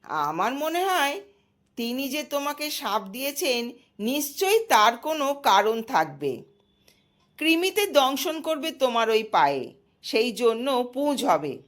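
Woman voicing a slow, measured father sun god. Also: high-pitched, sing-song, full character voice. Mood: grave, reasoning.

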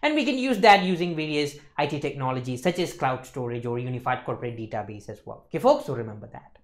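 A man's voice: medium pitch, expressive, fast. A young man giving an explanatory lecture, emphatic and often checking in with listeners. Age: young adult. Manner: emphatic, repeats checking tags like "okay, folks" and "isn't it". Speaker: accountancy lecturer. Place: indoor lecture studio.

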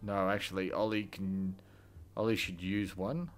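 A man's voice: low voice, flat delivery, measured pace, a little hiss or hum. A man giving casual let's play commentary as a gaming host, muttering through his decisions as he plays. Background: game music.

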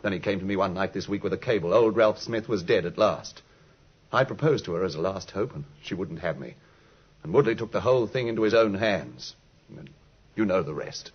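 A man playing a gruff, agitated, lovelorn fellow in a 1950s radio drama, blustering and rueful. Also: sits low, expressive, a little fast. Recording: muffled 1950s radio recording.

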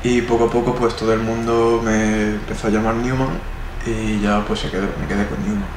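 A man's voice: fairly low pitch, flat delivery, fairly quick. A man in his twenties with a Spanish accent, answering interview questions in a rambling way with many fillers. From Spain. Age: 20s. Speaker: interviewee, artist. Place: indoor room. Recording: echoey room, noisy.

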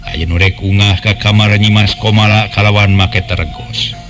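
A man's voice: on the low side, steady tone, moderate pace, fairly bright tone. A man with a West Javanese accent narrating a dramatised folk tale as a storyteller. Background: faint music.

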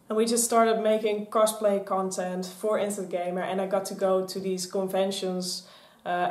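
A woman's voice: medium pitch, darkish tone. Chatty Dutch woman in an echoey room. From Netherlands. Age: 20s. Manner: chatty, rambling, gesturing a lot. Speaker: vlogger. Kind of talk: casual vlog chat. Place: small craft room with shelves and mannequins, indoors. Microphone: camera on a stand in front.

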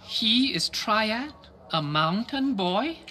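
A man's voice: high-pitched, expressive, very slow, pushed and bright, exaggerated voice. A man playing a stern, wary Triad underling in a video game cutscene, speaking in terse, formal sentences. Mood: stern, doubtful. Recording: noisy game audio, ambience.

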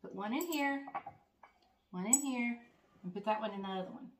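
A woman's voice: fairly high pitch, normal speed, noisy background. A woman in her fifties, a grandmother, rambles cheerfully to the camera in her home kitchen. She talks in a loose, chatty way, full of fillers and restarts, recorded on a camera close by. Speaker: farm vlogger, grandmother. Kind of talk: casual vlog chat. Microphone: camera close up, then further off at the counter.

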